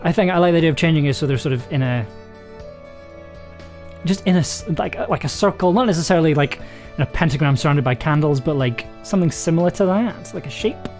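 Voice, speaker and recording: A streamer sits at a home desk, thinking aloud while typing out a journaling game entry. The speech is hesitant and often trails off into mutters before the drafted lines are reread aloud. Soft music runs quietly underneath, with keys clicking. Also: medium pitch, animated, fast talker. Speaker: streamer and writer. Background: faint music and keyboard typing.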